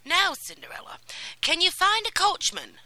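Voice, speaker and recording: A woman voicing a kind fairy godmother in a storybook reading, brisk and commanding. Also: very high voice, wildly swooping pitch, no echo, pitched above the performer's own voice, exaggerated voice, rough recording. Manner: bright, commanding, encouraging.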